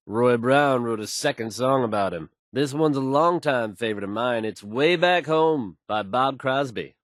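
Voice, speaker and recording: An actor playing a confident radio DJ in a game, announcing with polished, assured delivery. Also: male voice, mid-range voice, dry recording, studio quality, lightly acted. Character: confident, smooth male radio DJ and newsreader. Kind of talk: radio song introductions and news reading.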